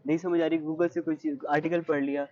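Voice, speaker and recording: A man's voice: a little high, level tone, fast talker, a little mellow, highs cut off, a little hiss or hum. A young man with a Pakistani accent answering interview questions conversationally, explaining and giving advice with English words mixed in. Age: young adult. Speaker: interview guest, university student.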